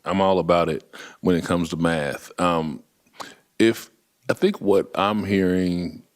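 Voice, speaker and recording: Deep-voiced man asking casual questions. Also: a little slow. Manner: casual, repeats words, self-described loud voice. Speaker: board member. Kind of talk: board meeting discussion. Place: board meeting room.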